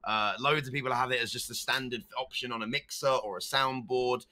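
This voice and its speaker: A man who stutters, chatting casually as a streamer guest on a podcast and breaking into loud meme voices now and then. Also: mid-range voice, steady tone, a little fast.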